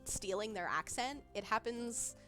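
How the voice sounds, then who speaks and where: A woman's voice: a little high, lively intonation, measured pace, fairly bright tone. Giggly young woman chatting on stream. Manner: giggly, rambling, many fillers. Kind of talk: casual livestream chat, reading chat. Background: faint background music.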